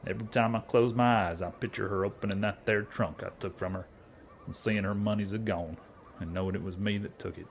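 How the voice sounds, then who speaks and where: Man acting a low-voiced, earnest cowboy bumpkin. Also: fairly even intonation, brisk, gravelly, slight background noise. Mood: guilty, brooding. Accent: rural American Western drawl. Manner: folksy, ungrammatical bumpkin talk. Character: guilt-ridden, earnest young cowboy outlaw, naive bumpkin. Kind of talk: western comedy audio drama acting.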